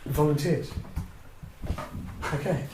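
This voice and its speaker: A man's voice: on the high side, steady tone, slow. An English man chairing a formal council meeting in a polite, procedural and somewhat hesitant way. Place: indoor parish council meeting room. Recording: echoey meeting room, clear background noise.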